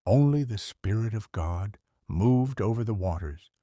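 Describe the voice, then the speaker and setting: An actor playing a kindly old grandfather who tells Bible stories in an unhurried, expressive, theatrical way. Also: fairly low pitch, no room sound, studio quality. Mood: earnest. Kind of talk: dramatized audio story narration.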